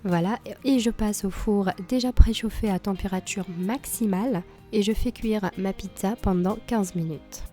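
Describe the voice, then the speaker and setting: A woman with a slightly hoarse voice from a cold talks through a recipe in a calm, mellow tone. She explains each step plainly, with the odd small filler. Soft music plays behind her. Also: medium pitch, normal speed, hardly any pauses. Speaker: cooking channel host. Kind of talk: cooking tutorial voice-over.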